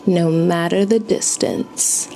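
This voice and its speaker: A woman's voice: lowish voice, fairly even intonation, slow, vocal fry, warm tone, soft background music, low-quality recording. Playful chatty young woman co-host. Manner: playful, gossipy, reading chats aloud. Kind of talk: casual chat.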